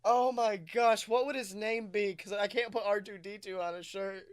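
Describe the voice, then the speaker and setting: A man co-hosting a podcast, chatting casually in an excitable, jokey storytelling manner. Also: high voice, moderate pace, clean tone. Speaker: podcast co-host. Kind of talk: animated casual podcast chat.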